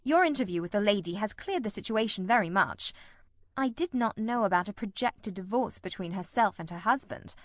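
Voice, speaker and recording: A woman's voice: mid-range voice, animated, no echo, close to an everyday voice. Woman as assured male detective, dry close sound. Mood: calm, analytical. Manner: measured, explanatory, assured. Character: calm, incisive male detective explaining his deductions. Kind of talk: audiobook-style dramatised reading.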